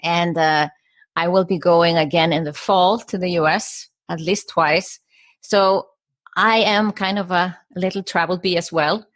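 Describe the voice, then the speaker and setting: A woman's voice: lowish voice, steady tone, a little slow, no room sound. A middle-aged woman from Iceland, a guest on a podcast interview, who talks in short stacked phrases with some fillers and restarts. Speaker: guest, business coach.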